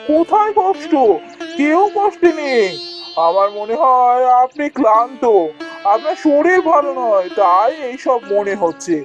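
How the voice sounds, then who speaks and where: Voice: high-pitched, very animated, measured pace. A cartoon performer playing a royal courtier, placating and dismissive. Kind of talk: cartoon fairy-tale voice acting. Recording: dull lo-fi sound with music.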